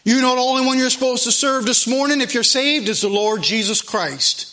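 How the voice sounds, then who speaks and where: A man's voice: extremely high pitch, sharp tone. A man with an American accent preaching a fervent sermon, emphatic and exhorting, repeating phrases to drive them home. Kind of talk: fervent sermon with scripture readings.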